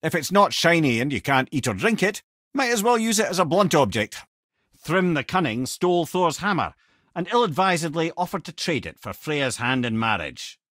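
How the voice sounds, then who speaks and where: Old Scottish talking head, video game companion, wry, eloquent, husky, very expressive intonation, theatrical storyteller, dry studio sound. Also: male voice, on the high side, normal speed, no room sound. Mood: storytelling. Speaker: companion and guide. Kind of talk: video game character dialogue and lore storytelling.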